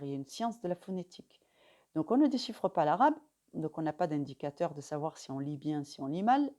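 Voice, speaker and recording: Woman, middle-aged, lowish mellow voice, calm teacher pacing with frequent pauses, for a lesson read. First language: French. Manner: calm, measured, pausing often with fillers. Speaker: religious teacher. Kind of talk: instructional talk to camera.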